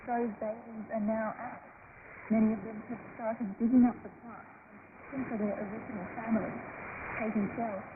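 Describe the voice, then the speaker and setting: A woman's voice: on the high side, flat delivery, fairly quick, narrow, tinny audio. A woman presenting a radio news magazine with scripted introductions, heard over shortwave. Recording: noisy band-limited shortwave radio broadcast.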